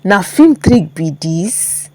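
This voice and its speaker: A woman's voice: on the low side, very animated, slow pace, dark tone, no room sound, pitched below the performer's own voice, heavily acted. A woman voicing a brash, teasing young Nigerian woman who talks in mocking Pidgin exclamations for a story. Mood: amazed.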